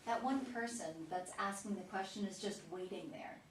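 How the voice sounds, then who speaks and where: A woman in a casual group discussion, analytical and quick to agree. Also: medium pitch. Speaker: audience member in discussion. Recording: roomy, distant, faint noise.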